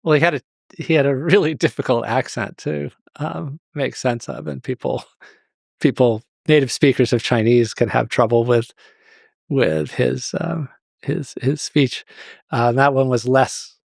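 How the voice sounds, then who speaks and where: A man's voice: medium pitch, measured pace, creaky, darkish tone, no room sound, clean studio sound. A man speaking as an expert guest in an interview, explaining hesitantly and often rephrasing himself.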